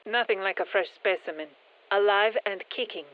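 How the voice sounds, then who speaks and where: A woman playing a brisk, tech-minded science operative in a video game, giving matter-of-fact, wry mission instructions over a radio filter. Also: mid-range voice, narrow, tinny audio. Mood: brisk, instructional. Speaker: mission handler. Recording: thin band-limited radio comms sound.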